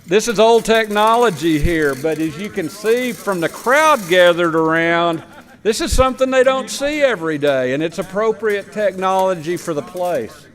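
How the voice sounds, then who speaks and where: A man's voice: high-pitched, expressive, moderate pace, a bit bright. A man gives a plain, explanatory church presentation about farming work with slides, sometimes tripping over his words. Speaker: missionary agriculture lecturer. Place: church sanctuary. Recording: long church reverb.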